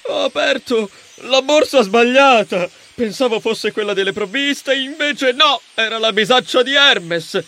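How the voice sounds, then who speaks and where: A man's voice: very high-pitched, a little slow, few pauses, sharp tone, voice pushed up, heavily acted. A man voicing a boisterous, cheerfully bumbling muscular hero in a storybook, with casual, exclamatory, teasing delivery. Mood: sheepish. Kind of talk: audiobook character acting.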